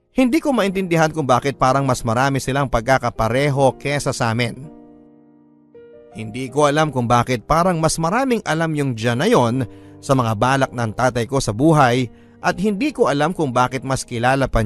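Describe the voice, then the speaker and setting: A man's voice: mid-range voice, lightly acted. A man playing a wounded, resentful son, narrating his story in an anguished, self-questioning way for a Filipino radio drama. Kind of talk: first-person dramatic letter narration in Tagalog radio drama. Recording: faint music behind the voice.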